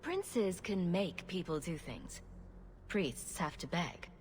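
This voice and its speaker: Game casting: sarcastic rogue champion, lowish animated voice, dry and flippant, reverberant space. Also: female voice, takes it slowly, slight background noise. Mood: wry. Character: wry, sarcastic female rogue adventurer and champion. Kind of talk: dramatic video game dialogue.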